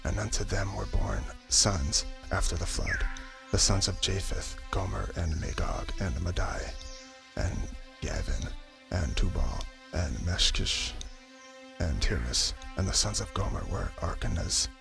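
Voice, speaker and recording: A man with a very low, gravelly voice narrates a dramatized Bible reading in ASMR style. He goes haltingly through difficult names. Music plays clearly behind him. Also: very animated. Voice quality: low, creaky voice.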